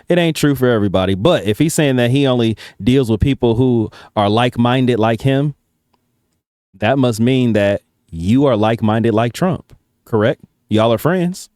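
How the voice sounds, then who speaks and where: A man with a smooth, clear, mid-pitched voice hosts a casual reaction video. He talks in slangy, casually profane commentary, recorded close and dry. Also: a little mellow, no echo.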